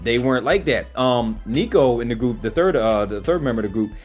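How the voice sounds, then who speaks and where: Man, R&B singer and host, smooth voice, relaxed storytelling, mid pitch, faint background music. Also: a little fast. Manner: relaxed storytelling, often says "you know what I mean". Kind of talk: casual chat.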